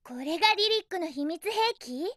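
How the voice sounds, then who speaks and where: A woman voicing a feisty, bossy but caring young girl hedgehog in a video game, with energetic, girlish delivery. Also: very high voice, moderate pace, no echo, studio quality. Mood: thoughtful, chatty. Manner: energetic, girlish, emphatic feminine phrasing. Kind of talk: Japanese video game character voice acting.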